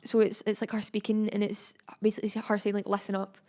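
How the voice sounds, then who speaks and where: A woman's voice: medium pitch, monotone, quick pace, darkish tone. A teenage Scottish girl chatting casually as a podcast guest, filling her talk with likes and ums. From Scotland. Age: teens. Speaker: guest pupil. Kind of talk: casual chat. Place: school classroom.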